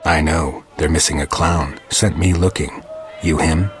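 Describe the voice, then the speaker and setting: A man voicing a gruff, stoic monster hunter with dry wit and laconic delivery in a fantasy video game. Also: rumbling low, measured pace. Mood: deadpan taunting. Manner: deadpan, laconic. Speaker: player character of a fantasy game.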